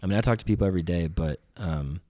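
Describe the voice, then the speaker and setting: A man's voice: sits low, dark tone, no room sound, clean studio sound. A man on a podcast as a guest, chatting casually, playful and joking, often cutting in. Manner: playful, joking, rapid and interrupting. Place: podcast studio.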